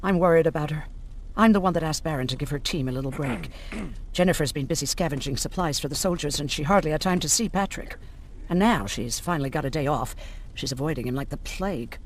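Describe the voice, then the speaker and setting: A woman's voice: low-pitched, fast talker, few pauses, slight background noise, close to an everyday voice. An actress playing a self-assured, caring resistance woman in a video game, with a wry, folksy, familiar way of talking. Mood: concerned, frank.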